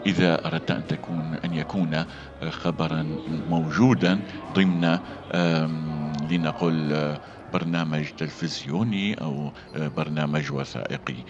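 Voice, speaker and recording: A man teaching a voice-over lesson, halting with fillers and restarts between read-aloud demonstrations. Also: a bass voice, fairly even intonation. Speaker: voice acting coach. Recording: dull lo-fi sound with faint music.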